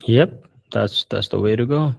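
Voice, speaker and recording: A man hosting a casual group video call, relaxed and hesitant, often restarting his sentences. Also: on the low side, measured pace, gravelly, slight background noise. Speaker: call host answering members' questions.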